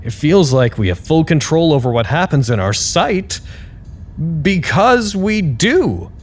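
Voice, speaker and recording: A man's voice: a little high, very animated, a little slow. A man playing an earnest, candid site staffer in an audio drama, speaking a reflective and wryly formal log to unseen superiors. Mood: candid, hopeful.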